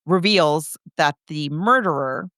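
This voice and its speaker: A woman with a fairly low, clear voice chats as a podcast co-host. She retells a film casually, like someone telling a story to a friend. The recording is close and dry. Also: slow pace, fairly bright tone, dry recording. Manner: conversational storytelling, acting out characters' reactions. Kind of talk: casual film recap chat.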